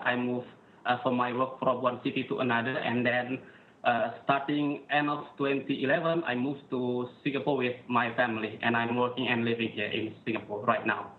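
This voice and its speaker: A man's voice: medium pitch, little pitch movement, normal speed, phone-quality sound, a little hiss or hum. A man from Indonesia with a strong Indonesian accent, answering interview questions hesitantly with many fillers. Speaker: guest.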